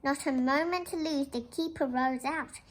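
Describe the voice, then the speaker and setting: A woman's voice: high-pitched, normal speed. A young girl reads a children's picture book aloud, explaining it and asking questions like a little teacher. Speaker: young storybook reader.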